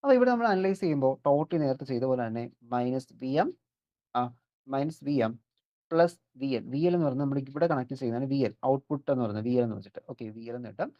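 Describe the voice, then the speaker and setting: Malayali teacher doing an electronics lecture, talks quick, asks questions then answers them, muffled lo-fi audio. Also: mid-range voice, expressive. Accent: Malayali (Kerala). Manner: mixes in English technical terms. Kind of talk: explanatory lecture worked step by step. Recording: dull, lo-fi audio.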